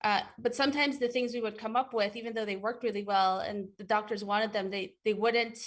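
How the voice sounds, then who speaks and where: A woman's voice: medium pitch, steady tone, brisk. A woman from Ecuador, a guest in an interview, answering thoughtfully with frequent fillers and restarts.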